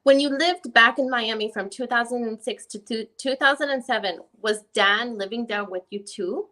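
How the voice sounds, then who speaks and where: Bright, higher-pitched woman lawyer, played by a reader. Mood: methodical, probing. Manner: deliberate, formal questioning. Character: methodical, probing woman lawyer questioning a witness. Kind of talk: dramatic reading of a deposition.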